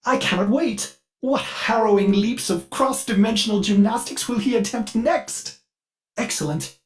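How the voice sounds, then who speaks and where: A man's voice: high voice, unhurried. A man voicing a fussy, eager ship artificial intelligence in a video game, polite and theatrical, with a manic edge under the courtesy. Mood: delighted, fascinated. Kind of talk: scripted video game character dialogue.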